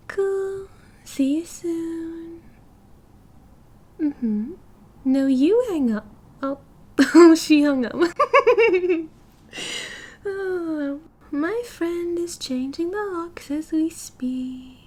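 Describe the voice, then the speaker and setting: A woman's voice: very high voice, darkish tone, full character voice. A British woman playing a cheerful, oblivious and possessive yandere girlfriend in an ASMR roleplay, with a breezy, giggly, dramatic manner. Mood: chummy, then chatty. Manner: giggly, breezy, dramatic, chatty with drawn-out casual delivery.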